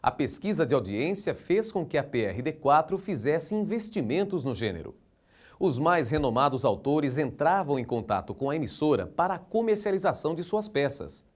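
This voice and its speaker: Animated, higher-pitched Brazilian male narrator. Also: normal speed. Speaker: documentary narrator. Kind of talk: scripted narration.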